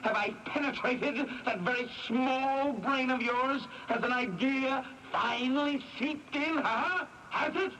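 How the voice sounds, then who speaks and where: An actor playing a hot-tempered, self-pitying younger brother in a radio drama, needling and stammering over repeated phrases. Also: male voice, extremely high pitch, slow, few pauses, bright, forceful tone, full character voice, poor audio. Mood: scornful anger. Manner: needling, sneering, with stammered repetitions. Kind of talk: 1980s radio drama acting. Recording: hissy 1980s AM radio airing.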